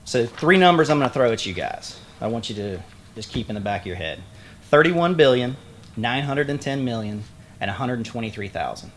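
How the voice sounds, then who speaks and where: A man's voice: medium pitch, animated, fairly quick, slight background noise. A man who is a state aviation official gives a plain-spoken ceremony speech at a podium outdoors, with folksy asides and frequent fillers. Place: outdoor groundbreaking site. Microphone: podium microphone.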